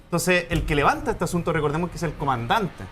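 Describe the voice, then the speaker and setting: Chilean male panelist, rapid-fire, sardonic, a bit high-pitched. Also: animated, fairly bright tone, soft background music. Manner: combative, sarcastic, rapid rebuttals. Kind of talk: TV panel debate.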